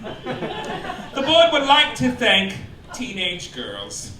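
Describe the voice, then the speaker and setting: A high-voiced man delivers a campy stand-up monologue full of mock-official pronouncements. His pitch swoops theatrically, and he is heard from a distance in a noisy live club. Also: huge pitch swings, drawn-out words, crowd noise. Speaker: comedian, show host presiding as mock chairman. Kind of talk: stand-up comedy monologue read to a live audience. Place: cabaret club stage before a laughing audience. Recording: roomy live club, distant mic, loud noise.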